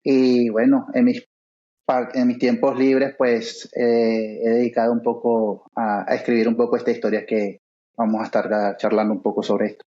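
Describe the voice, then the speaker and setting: A man in his forties, a guest author, answering interview questions haltingly, with many fillers and pauses, over a remote call. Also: medium pitch, flat delivery, dark tone, highs cut off. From Venezuela. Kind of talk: interview conversation.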